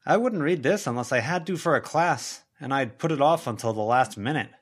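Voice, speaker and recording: A man's voice: medium pitch, animated, brisk, voice pushed up, natural voice. A man voicing a brash, nosy, tactless woman in an audiobook, with a blunt, pushy way of talking.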